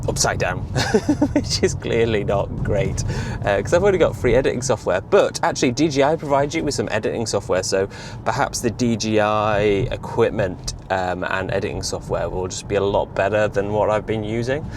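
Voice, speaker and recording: A man talking casually and hesitantly in a rambling vlog, with a fairly monotone delivery. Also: on the low side, moderate pace. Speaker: vlogger reviewing electric car charging. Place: inside a moving car. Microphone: in-car camera on windscreen or dash. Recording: loud road noise in a car. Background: loud car and road noise.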